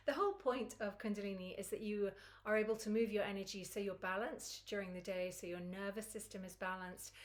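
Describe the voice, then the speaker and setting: Croaky-voiced lady leading a quick yoga session, chatty and encouraging. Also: mid-range voice. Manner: chatty and encouraging, laughs easily. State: croaky, recovering from lost voice. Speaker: Kundalini yoga teacher. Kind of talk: guided yoga class instruction.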